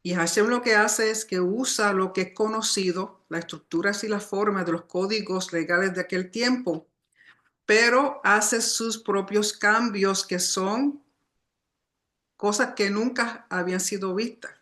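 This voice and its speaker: A woman's voice: mid-range voice, unhurried, a bit bright. A woman giving a religious study lecture in a didactic, explanatory manner. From Puerto Rican family, born outside the island. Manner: didactic, explanatory, often reminding listeners. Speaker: religious teacher.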